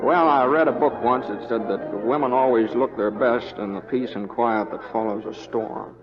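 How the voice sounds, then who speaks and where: Guy playing easygoing cowboy deputy, slow folksy drawl, kinda hesitant, mid voice, muffled old radio. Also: mid-range voice, over music, lightly acted. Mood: mild, understated. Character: easygoing, folksy young Western deputy, mild but shrewd. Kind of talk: 1940s radio Western acting. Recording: dull old radio broadcast.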